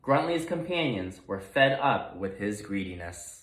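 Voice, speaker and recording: A man's voice: lowish voice, very animated, a little slow, fairly bright tone. A man narrating a children's picture book expressively as a read-aloud storyteller, in a roomy recording.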